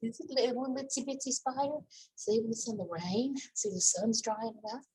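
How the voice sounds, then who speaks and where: A woman's voice: on the high side, brisk, warm tone, a little hiss or hum, poor audio. A woman reading aloud to young children as a storytime host, with chatty asides and questions for her listeners.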